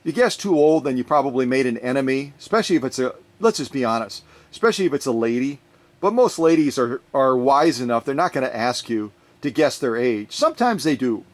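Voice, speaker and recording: A man chatting casually in a vlog, telling a rambling story in an animated way as he gets ready to unbox a sewing machine in his workshop. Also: mid-range voice, brisk. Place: workshop, recording his own video.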